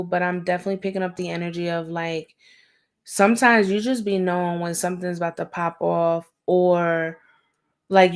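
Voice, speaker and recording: A woman with a raspy, slightly hoarse voice, talking casually and in slang as she gives an intuitive reading on a livestream, often checking in with her listeners. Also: fairly low pitch. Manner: slangy, conversational, often seeking agreement with "right?" and "okay?". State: hoarse, going easy on throat. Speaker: livestream tarot reader, host.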